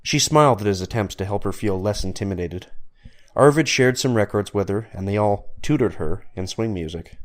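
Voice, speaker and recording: A man's voice: lowish voice, animated, fairly quick. A man reading scripted story narration as the narrator.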